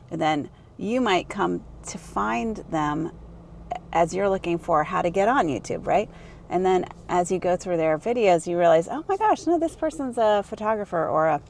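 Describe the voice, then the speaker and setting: Gravelly woman chatting over road noise. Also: fairly low pitch. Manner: rambling, self-correcting, enthusiastic. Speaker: vlogger. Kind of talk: casual chatty vlog. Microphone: small handheld camera mic. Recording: noisy car and street ambience.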